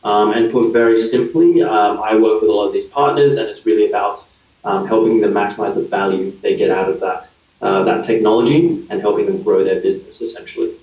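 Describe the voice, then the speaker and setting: A fairly low-voiced Australian man in his thirties, answering interview questions in an easygoing, explanatory way and often pausing on "um" or restarting a phrase. He sits well away from the mic, so the sound is dull and echoey. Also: recorded from a distance. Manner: relaxed, explanatory, many fillers and restarts. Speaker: guest, digital consultant.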